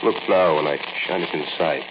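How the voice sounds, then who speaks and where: An actor playing a rough, wary robber's accomplice in a 1940s-style radio drama, speaking in curt colloquial phrases. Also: male voice, very deep voice, normal speed. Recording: muffled, crackling old radio recording.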